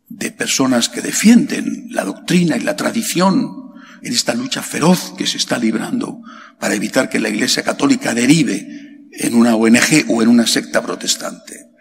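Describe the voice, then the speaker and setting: Middle-aged priest, unhurried homily with gravelly edge, large chapel reverb, faint hiss. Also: male voice. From Spain. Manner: slow and emphatic, rhetorical questions, pitch leaps on key words. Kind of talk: sermon. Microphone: stand mic at lectern. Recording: long hall reverb, faint background hiss.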